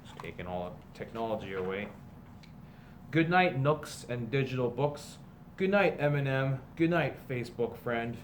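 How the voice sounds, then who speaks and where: Man reading aloud over clear background noise. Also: medium pitch, expressive, unhurried. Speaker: teacher reading aloud to students. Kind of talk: children's picture-book read-aloud with casual asides.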